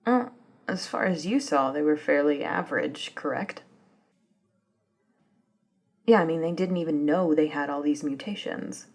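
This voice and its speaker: Woman acting soft, breathy, deferential female assistant. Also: low voice, measured pace, pauses often. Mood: curious, casual. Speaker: voice actor in scripted ASMR roleplay. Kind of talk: soft-spoken ASMR roleplay acting.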